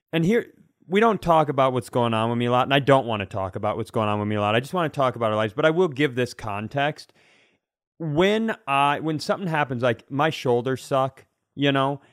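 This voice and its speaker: Casting: male comedy podcaster, middle-register voice, very dry sound, rambling animated conversational delivery. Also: mid-range voice, no room sound.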